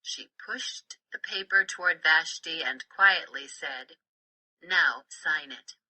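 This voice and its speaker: A woman narrates a children's story with a very bright, crisp-edged tone. Her pitch sits a little low for a woman, and she reads slowly and deliberately, with expression. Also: low-quality recording. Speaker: storybook reader.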